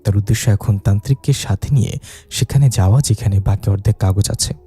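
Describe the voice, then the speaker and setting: A man's voice: fairly low pitch, fairly quick, warm tone, slightly rough, dry recording. A man narrating a ghost story in a dramatized storytelling style.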